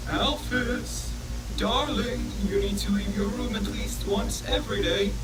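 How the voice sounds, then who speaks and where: A man acting as a wistful, theatrical robot showman, heard far from the mic in an echoing space. Heavy rumbling noise surrounds this gloomy analog horror monologue. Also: a little slow. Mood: coaxing, concerned. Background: loud low rumble.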